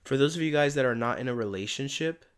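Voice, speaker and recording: A man giving a casual spiritual tarot reading in a soft, meandering, reflective way, his voice tired and giving out. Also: medium pitch, quick pace, clean tone. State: voice giving out, tired by day's end. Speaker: tarot reader and channeler.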